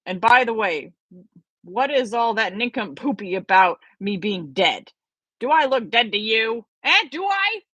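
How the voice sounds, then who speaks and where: A woman voicing a crabby, fussy old male professor with a raspy voice and a snappish, blustery manner. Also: on the high side, moderate pace, fairly bright tone, no echo, highs cut off. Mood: indignant.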